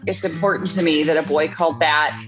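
Low-voiced woman author. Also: fairly even intonation, a little fast, highs cut off, music in the background. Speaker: children's book author, interview guest. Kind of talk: conversational interview.

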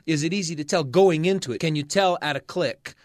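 A man's voice: a little high, fairly quick, no echo, clean studio sound. A man hosting a talk and story show, speaking in reflective, conversational phrases as he chats and introduces stories. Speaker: radio show host.

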